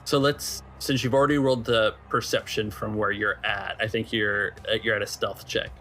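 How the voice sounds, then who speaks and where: A man working as game master, improvising descriptions of a scene in a halting way. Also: fairly low pitch, flat delivery, bright, forceful tone. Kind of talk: improvised tabletop game narration.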